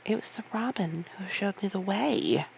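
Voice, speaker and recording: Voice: mid-range voice, expressive, normal speed, gravelly, a bit bright, lo-fi sound, a little hiss or hum. A reader voicing a stubborn young girl, speaking insistently in a storybook reading.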